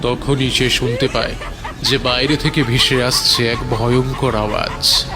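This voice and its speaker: A man's voice: medium pitch, level tone, measured pace. A man narrating a scripted horror story in a dramatic storytelling style. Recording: prominent music under the voice.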